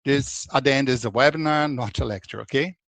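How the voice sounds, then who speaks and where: A man's voice: medium pitch, fairly quick, gravelly, a bit bright, dry recording. A man in his forties from Brazil, speaking with a Brazilian accent as a consultant presenting a webinar in a conversational way, with frequent restarts.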